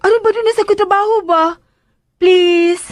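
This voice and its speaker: An actress playing a cheeky, pleading young caretaker in a radio drama, blurting things out in hesitant, chatty back-talk. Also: female voice, very high-pitched, steady tone, a little slow, dry recording, clean studio sound. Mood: apologetic pleading.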